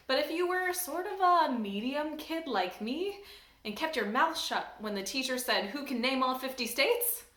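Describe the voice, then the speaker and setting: A woman from a church staff reading a children's book aloud in an expressive, lively storytelling manner. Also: high-pitched. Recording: roomy echo.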